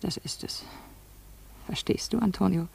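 An actress playing a wounded, yearning woman in a radio play, husky and breathy, putting poetic questions in an intimate way. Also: mid-range voice, measured pace, darkish tone, exaggerated voice. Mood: grieving. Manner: poetic, questioning, sliding into whispers. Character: wounded, yearning rich woman confiding in her boatman. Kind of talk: intimate literary radio drama acting.

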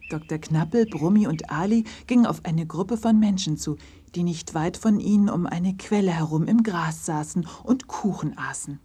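Very husky, lowish-voiced woman storyteller. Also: moderate pace, warm tone. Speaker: narrator. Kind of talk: scripted children's story narration.

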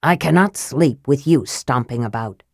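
A frail, bitter young male mage, cool and sibilant, talking down to everyone with a peevish edge, as a woman reads him in a low, dry audiobook voice. The tone stays controlled and cutting, never loud. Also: animated, normal speed, no room sound, exaggerated voice. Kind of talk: audiobook character voice.

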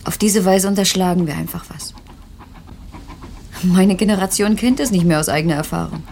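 A woman's voice: lowish voice, normal speed, creaky, darkish tone, slight background noise. An actress playing a young, inquisitive, opinionated literary woman, self-assured and probing, in a naturalistic radio play.

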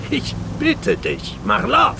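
An actor playing a gloating, mock-friendly Armenian nationalist bomber in a crime radio drama, theatrical and taunting. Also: male voice, very high voice, gravelly, exaggerated voice. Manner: theatrical, taunting, falsely jovial. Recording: outdoor vehicle noise behind.

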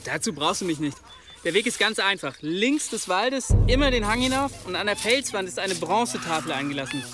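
An actor playing a young monk, defensive and uneasy, in a German radio drama. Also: male voice, high-pitched, very animated, brisk, over music. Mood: guarded. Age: young adult. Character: young monk, defensive and nervous under blackmail.

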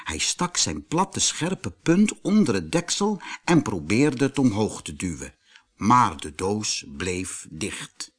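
A man narrating a children's storybook in an expressive, deliberate manner. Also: fairly low pitch, husky.

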